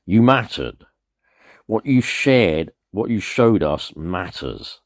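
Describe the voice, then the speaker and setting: A man's voice: low voice, very animated, slow, no room sound, clean studio sound, full character voice. A man playing a frightened but comforting broadcast host in a radio play, speaking with earnest urgency. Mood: earnest, consoling. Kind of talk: dramatic live emergency broadcast in a radio play.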